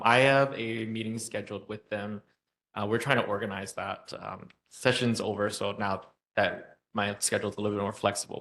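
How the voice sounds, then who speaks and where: A man with a somewhat low voice gives a legislative update at a neighborhood meeting. He talks informally and hesitantly, with frequent filler pauses. It sounds like a community center meeting room. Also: a bit bright. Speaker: state legislator giving a report. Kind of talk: public meeting report.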